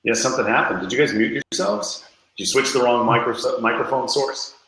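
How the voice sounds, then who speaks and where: A man's voice: medium pitch, steady tone, brisk, fairly bright tone. A man co-hosting a podcast, introducing it in a playful, theatrical way. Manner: theatrical, playful show-host phrasing. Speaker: podcast co-host. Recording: roomy, echoing online call.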